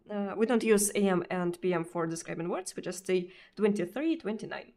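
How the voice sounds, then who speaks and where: A woman's voice: mid-range voice, sing-song, a little slow, a little mellow. A Russian woman streamer speaking English with a Russian accent in casual chat, hesitant, with many fillers and repeated words. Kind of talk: casual chat answering viewers.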